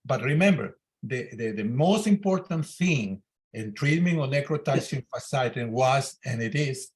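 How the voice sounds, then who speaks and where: A man's voice: medium pitch, expressive, normal speed. An elderly man, a senior surgeon, commenting informally at a medical discussion in loosely built non-native English. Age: 70s to 80.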